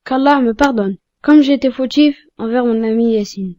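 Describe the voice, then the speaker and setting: A woman acts a young cartoon boy with a fairly high, rounded, unsharp voice. He is polite and pious, sincere in everything he says and easily touched. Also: normal speed, dark tone, lo-fi sound, natural voice. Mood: contrite. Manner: earnest, courteous. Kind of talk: didactic cartoon dialogue.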